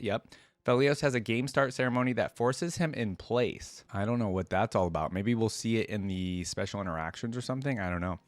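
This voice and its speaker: A man hosts a reaction video in a very dry, close-sounding recording. His voice sits at an average male pitch. He talks informally and chattily, thinking out loud as he comments and reads bits of text. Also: no echo. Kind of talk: casual reaction commentary, partly reading aloud.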